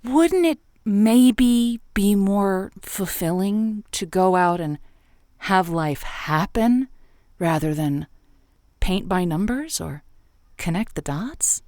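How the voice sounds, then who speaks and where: A woman's voice: mid-range voice, animated, a little mellow, no room sound, studio quality. A woman playing a probing radio advice host. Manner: probing, halting questions. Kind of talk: improvised comedy call-in radio acting.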